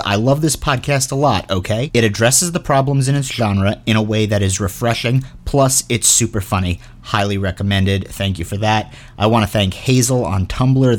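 A man hosting a podcast, giving announcements in a chatty, informal, earnest way. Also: on the low side. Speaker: podcast host and show creator.

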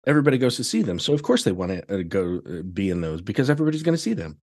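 A man chatting casually as a podcast guest, telling anecdotes in an animated way and acting out exchanges. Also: on the low side, rapid-fire. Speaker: podcast guest, theatre director.

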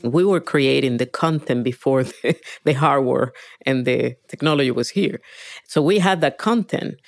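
A woman's voice: rumbling low, gravelly, dry recording. Middle-aged Venezuelan woman, close dry sound. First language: Spanish. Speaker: interviewee, virtual reality engineer. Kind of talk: conversational interview answers.

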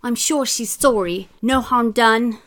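A woman's voice: a little high, slow, a bit bright, pitched above the performer's own voice. A woman voicing a cheeky, guilty young boy in a storybook, exclaiming theatrically. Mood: flustered.

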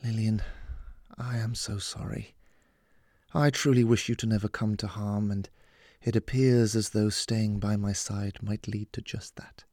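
A man voicing an aging gentleman mentor, kindly, courteous and wry, in audiobook dialogue. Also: mid-range voice, lively intonation, moderate pace, no echo, studio quality, natural voice. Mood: soft, regretful. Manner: flippant, courteous, composed.

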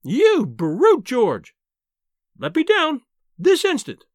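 A man's voice: extremely high pitch, huge pitch swings, takes it slowly, dry recording, studio quality, pitched above the performer's own voice, exaggerated voice. A man voicing a small, furious, indignant wife in an audiobook, screaming and wailing through her scolding. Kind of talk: audiobook character acting.

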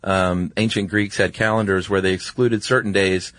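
A man's voice: sits low, steady tone, normal speed, fairly bright tone, no room sound. A man co-hosting a podcast in an informal, easygoing, conversational style. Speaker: podcast co-host. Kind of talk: casual conversational podcast chat.

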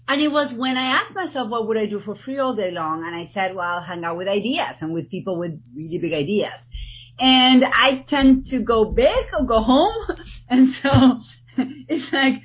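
A woman from Argentina with an Argentine accent, a guest in an interview, talking in an animated way and often restarting her sentences. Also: fairly high pitch, slightly rough, dull audio. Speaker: guest, TEDx organizer. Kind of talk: podcast interview.

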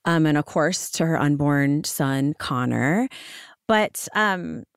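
A woman co-hosting a podcast, raspy from a sinus infection, chatting in a lively, enthusiastic way and laughing often. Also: deep voice, slow, no echo, studio quality. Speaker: podcast co-host. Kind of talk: casual chat.

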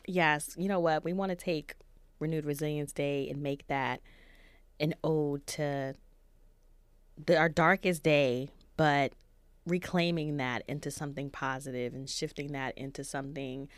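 A woman in her thirties co-hosting a podcast in casual chat, soft-spoken and reflective. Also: low voice, steady tone, measured pace, smooth, no echo. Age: 30s. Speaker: podcast co-host.

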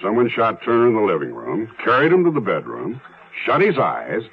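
An actor playing a methodical, world-weary police detective in a 1970s radio drama, with an American accent and a dry, clipped manner. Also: male voice, lowish voice, huge pitch swings, fairly quick, gravelly, husky, full character voice. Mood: matter-of-fact. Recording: muffled vintage radio recording with music.

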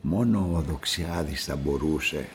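An actor playing a grumbling, gossipy, plain-spoken male colleague in a radio drama, with a gravelly voice and familiar manner. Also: a bass voice, slight background noise. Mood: suspicious, confiding.

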